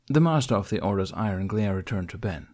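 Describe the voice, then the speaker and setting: A man's voice: fairly low pitch, expressive, fast talker, darkish tone. A man reading scripted fiction as an audiobook narrator.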